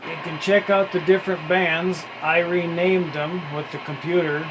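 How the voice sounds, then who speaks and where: A man giving a casual, unscripted walkthrough of some gear, in a halting, matter-of-fact way. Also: on the high side, little pitch movement, background noise. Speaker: radio hobbyist demonstrating gear.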